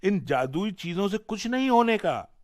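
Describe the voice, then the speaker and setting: A man's voice: high-pitched, big pitch swings, measured pace, voice pushed up. A man voicing a hot-tempered, scolding wife in a folk tale, with an exasperated, threatening, shrieking manner.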